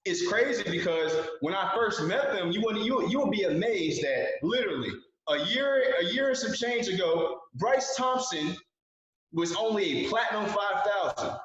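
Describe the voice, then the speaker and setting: A man's voice: high voice, animated, moderate pace, fairly bright tone. Echoey young man giving a pep talk. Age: 20s. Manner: earnest, repeats key phrases for emphasis. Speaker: guest speaker. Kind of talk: motivational talk on a video call. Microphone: video call. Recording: very echoey, dull video call audio.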